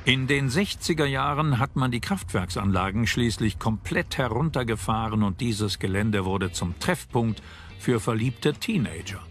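A man's voice: medium pitch, expressive, moderate pace, fairly bright tone. A man reading scripted narration for a documentary as its narrator. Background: background music.

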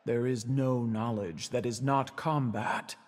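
Man as a slow, stern warrior. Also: mid-range voice, steady tone, darkish tone, long reverb tail, close to an everyday voice. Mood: solemn challenge. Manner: solemn, deliberate challenge. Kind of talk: video game character acting.

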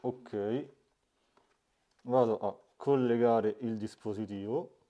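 A man's voice: lowish voice, takes it slowly, muffled recording. A man, giving a hands-on DIY tutorial as the host, recorded indoors in a small room. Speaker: host of a DIY smart-home channel. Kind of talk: hands-on tutorial narration to camera.

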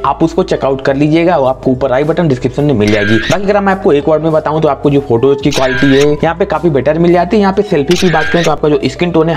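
Fast-talking Indian man reviewing gadgets. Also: a little high, a little mellow. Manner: habitually mixes English tech terms into speech. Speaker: tech review YouTuber, channel host. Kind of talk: scripted gadget review voice-over.

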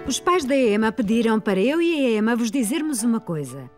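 Lively, high-voiced kindly preschool teacher. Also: female voice, fairly quick, soft background music. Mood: explaining. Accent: European Portuguese. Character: kind, patient female preschool teacher. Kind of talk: children's cartoon dubbing.

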